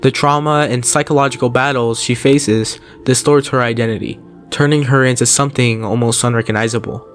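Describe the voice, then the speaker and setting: Male narrator for horror recap commentary, middle-pitched voice, casual storyteller delivery, light music underneath. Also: fairly even intonation, brisk. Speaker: video narrator, host. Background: background music.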